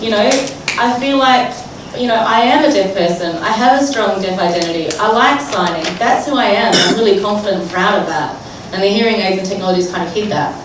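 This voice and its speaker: A woman tells a story in the first person as a sign interpreter, chatty and loose with lots of "like" and "you know." She sounds far from the mic in a very echoey hall, with clear noise behind her. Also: medium pitch, fairly quick. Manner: chatty, filler-heavy, amused. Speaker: sign language interpreter voicing a deaf speaker.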